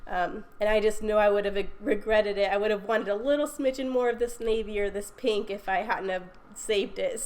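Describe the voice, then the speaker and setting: A woman's voice: mid-range voice, a little mellow. A young woman with a stuffy cold, chatting casually through a craft video voiceover with frequent ums and little laughs. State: congested from a cold. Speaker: scrapbooking video host. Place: recorded voiceover at home.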